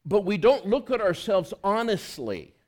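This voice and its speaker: A man's voice: high voice, sing-song, a little slow, a little mellow. A male pastor giving a conversational sermon, reading scripture and asking the congregation rhetorical questions.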